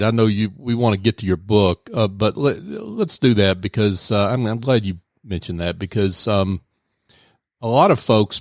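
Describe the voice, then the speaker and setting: A man hosting a radio business show, chatting in a relaxed way with many fillers from a studio. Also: fairly low pitch, dry recording. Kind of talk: casual radio interview.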